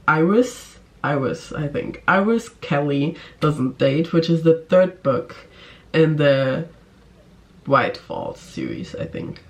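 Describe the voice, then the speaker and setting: A woman vlogger talks casually to the camera in a fairly low-pitched female voice. Her delivery is emphatic and gushing, with a giggly, informal way of talking. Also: a little mellow. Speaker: book vlogger.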